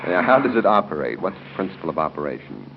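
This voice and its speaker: Low-voiced 1930s American radio quiz host, man. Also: big pitch swings, fast, creaky. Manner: genial and joking, chuckles between questions. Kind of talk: 1930s live radio audience quiz hosting, ad-libbed banter. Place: hotel room at a club luncheon. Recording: muffled, noisy 1930s radio broadcast recording.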